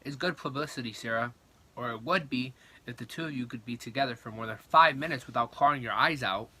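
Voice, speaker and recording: Bossy, fast-promising showman boss played by a man, bright medium-pitched voice, 1940s radio play. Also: slightly rough. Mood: pleading, reassuring. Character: smooth-talking, bossy male radio station boss.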